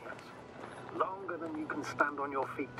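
An actor playing a cautious, formal space mission commander in a video game, talking over a radio with restraint. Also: male voice, fairly high pitch, flat delivery, moderate pace. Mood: formal, measured. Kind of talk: naturalistic video game voice acting. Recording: distant radio voice in loud noise.

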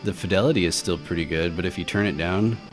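A man with a low-pitched voice narrates a screen recording as the host of a tech hobby channel. He talks in an informal, rambling way, thinking out loud as he goes. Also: fast talker, over music. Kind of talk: casual screen-recording walkthrough. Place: indoor voice-over for a screen recording.

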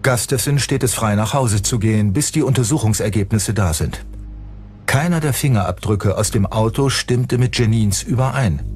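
Man, low voice, scripted true-crime narration, documentary narrator, music clearly behind. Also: normal speed, a little mellow. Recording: music under the voice. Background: background music.

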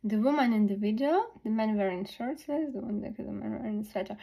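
A woman tutoring test preparation, thinking aloud through questions slowly with many pauses and fillers. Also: medium pitch, vocal fry, warm tone, husky.

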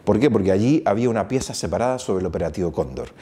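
A man in his fifties from Chile with a Chilean accent, giving a recorded lecture to camera on a lapel mic, measured and full of "eh" pauses. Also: on the low side, expressive, warm tone. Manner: measured, many "eh" pauses, gesturing hands. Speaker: foundation director, lecturer. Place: small bright office with blinds, indoors.

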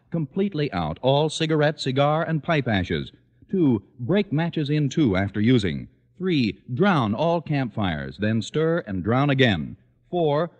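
A man's voice: mid-range voice, a little mellow. A man reading announcements in 1940s American radio advertising style. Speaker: commercial announcer. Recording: muffled old radio, long reverb.

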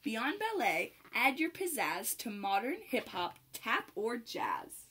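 A young adult woman reading a rhyming picture book aloud to children in an expressive, sing-song manner with clear phrasing. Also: a little high, takes it slowly. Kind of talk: children's read-aloud, rhymed storytelling.

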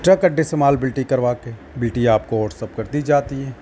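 A man with a Pakistani accent, a seller narrating a product demonstration in a matter-of-fact, repetitive sales pitch. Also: brisk, warm tone, a little hiss or hum. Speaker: wholesale market seller and channel host.

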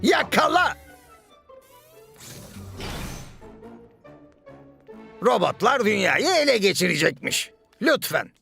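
High, creaky, scheming cartoon villain. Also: male voice, very animated, moderate pace, sharp tone, soft background music, exaggerated voice. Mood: gloating. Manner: exaggerated, mock-sweet, gloating. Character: scheming, theatrical male villain, sarcastic and gleefully devious. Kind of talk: animated children's cartoon dub.

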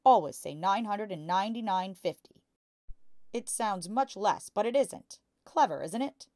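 A woman voicing a boastful, bullying father who deals in crooked cars, in a loud, hectoring manner for a storybook. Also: medium pitch, expressive, unhurried, dry recording, studio quality, voice pushed up.

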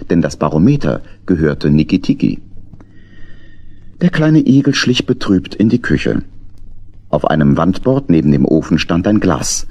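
A man narrating a children's story as an expressive scripted storyteller. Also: deep voice.

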